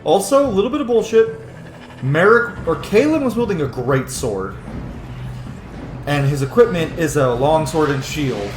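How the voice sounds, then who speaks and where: A man's voice: a little high, sing-song, moderate pace, faint music behind. A man giving casual gaming commentary in an opinionated, rambling way with plenty of swearing. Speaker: video game streamer. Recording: roomy echo with faint noise.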